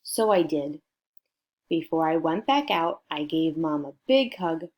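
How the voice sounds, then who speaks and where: Woman, slightly low pitch, unhurried, expressive children's read-aloud, prompting young listeners. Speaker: storybook reader for children.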